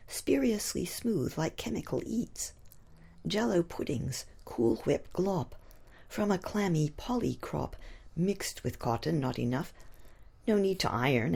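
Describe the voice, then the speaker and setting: A woman poet reciting her own rhyming verse in a husky, breathy voice, with expressive phrasing that dips into whispery tones. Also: low voice.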